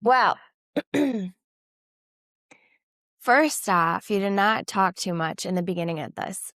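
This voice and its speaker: A woman's voice: medium pitch, lively intonation, takes it slowly, fairly bright tone, dry recording, clean studio sound. A woman co-hosting a podcast, nasal from a cold, speaking in an earnest, faith-filled way. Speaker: podcast co-host. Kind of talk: candid casual chat.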